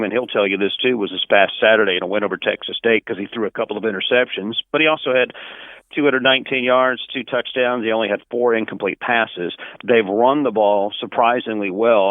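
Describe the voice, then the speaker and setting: Low-voiced man, dull lo-fi sports interview. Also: dry recording. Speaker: sports radio play-by-play announcer, interview guest.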